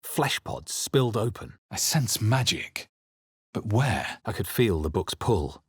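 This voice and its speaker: A man playing a grave, introspective adventurer hero in a fantasy video game, speaking in a measured, dramatic way. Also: lowish voice, sing-song, husky, no echo, studio quality, heavily acted. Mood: curious, wondering. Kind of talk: scripted video game narration and character lines.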